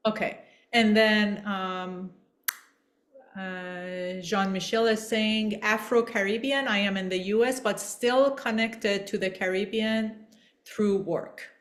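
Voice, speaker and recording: Halting middle-aged woman from Iran, co-hosting. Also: medium pitch, steady tone. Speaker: webinar co-host relaying participants' comments. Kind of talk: reading out comments and chatting informally.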